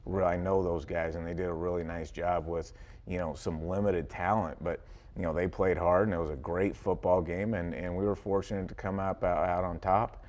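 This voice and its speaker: A man's voice: deep voice, steady tone, normal speed, a little mellow. A man with an American accent, a football coach talking conversationally in a sports interview, with folksy wording and repeated phrases.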